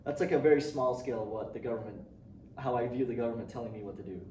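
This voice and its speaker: A man's voice: mid-range voice, racing, dark tone, slight background noise. Hesitant young man, distant roomy mic. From Indonesia. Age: young adult. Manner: hesitant, many ums and restarts, laughs lightly. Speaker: student interviewee. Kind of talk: casual interview answers.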